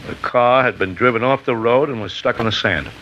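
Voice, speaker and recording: An actor playing a casual, wisecracking investigator in a 1950s radio drama. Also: male voice, medium pitch, brisk, a bit bright, natural voice. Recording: hissy dull 1950s radio recording.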